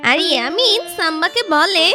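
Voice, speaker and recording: A children's story narrator with an extremely high, childlike voice. The scripted delivery is lively and swings in pitch, over clear background music. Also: moderate pace.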